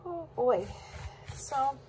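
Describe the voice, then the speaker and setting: A woman's voice: high voice, lively intonation, extremely slow, mellow, a little hiss or hum. A woman chatting casually with her viewers while she chops vegetables at her kitchen counter. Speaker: cooking vlogger. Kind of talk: casual cook-along chat.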